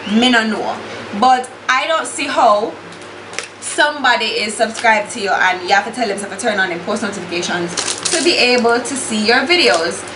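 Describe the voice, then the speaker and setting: Young Jamaican woman vlogger, blunt and exasperated, higher voice, echoey room with steady hiss. Casual makeup chat. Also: normal speed. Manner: blunt and exasperated, swears freely, slips into patois. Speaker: beauty YouTuber.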